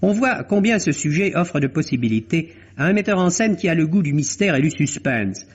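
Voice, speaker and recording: A man reviewing a film for radio as a critic, speaking in measured, articulate, literary phrases. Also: fairly high pitch, lively intonation, breathy edge. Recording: dull vintage radio recording.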